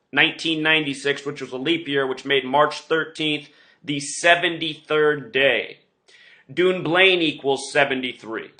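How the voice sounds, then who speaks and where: A man's voice: on the high side, sharp tone. A man hosting an online show, speaking in a rambling, insistent monologue with emphatic delivery. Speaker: online video host.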